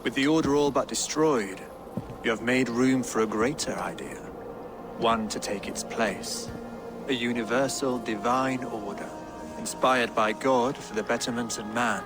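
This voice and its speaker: Male actor as devout deposed king, English accent, learned, quietly proud, measured game drama, backing music. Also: medium pitch, steady tone, close to an everyday voice. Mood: calm, gracious. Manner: measured, solemn, formal. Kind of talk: dramatic video game acting. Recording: game audio with music underneath.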